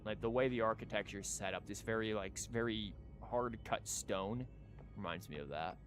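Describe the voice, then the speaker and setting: A man's voice: lowish voice, normal speed. A man giving casual, joking and sweary live commentary while he plays a video game. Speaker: streamer playing a video game. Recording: music behind the voice. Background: game music.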